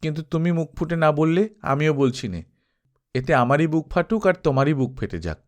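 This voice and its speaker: A man's voice: a little high, brisk, lightly acted. A man voicing a playful, teasing young woman, a secretive sister-in-law, in a dramatised Bengali novel reading. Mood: challenging, teasing. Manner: teasing, mock-scolding.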